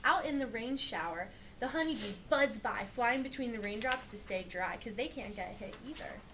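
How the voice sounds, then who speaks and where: Woman, slightly high voice, children's read-aloud, explanatory teaching manner, faint background noise. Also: animated, moderate pace. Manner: explanatory, asking and pointing things out to listeners. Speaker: reader teaching young children.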